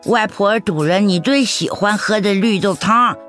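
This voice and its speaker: A woman playing a gentle, doting elderly grandmother in a radio drama. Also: medium pitch, measured pace, faint music behind, natural voice. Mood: fond, amused.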